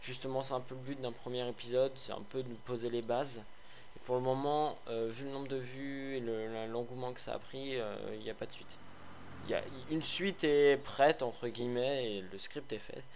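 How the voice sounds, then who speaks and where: Chatty, slightly hoarse French man. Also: mid-range voice, fairly even intonation, moderate pace, slight background noise. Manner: chatty, rambling, many fillers and restarts; sarcastic asides. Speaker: gaming video host. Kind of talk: casual game review commentary.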